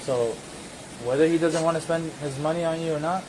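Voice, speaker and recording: Fast-talking police officer. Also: male voice, fairly high pitch, steady tone. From Cuba. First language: Spanish. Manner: patient, coaxing, quick-talking. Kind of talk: calming, de-escalating talk. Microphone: police body camera. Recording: noisy body camera audio.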